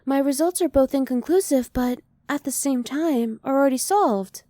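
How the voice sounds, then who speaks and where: A woman playing a curious, emotionally reserved female alien in an ASMR roleplay, soft-spoken, with formal, measured and precise wording. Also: sits high, dry recording, natural voice.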